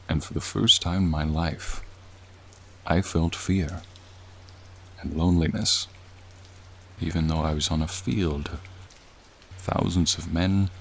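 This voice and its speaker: A man voicing a gentle, lonely, centuries-old dragon in a soothing ASMR roleplay, speaking in a reflective, gently formal way. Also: deep voice, gravelly, slight background noise, full character voice. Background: rain and raindrops.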